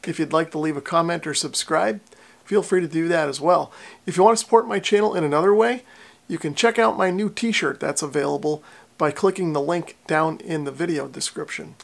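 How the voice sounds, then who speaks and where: A man in his forties narrates a repair job in a casual way. His voice sits a little high for a man and has a clear gravelly creak. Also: brisk. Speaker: repair channel host.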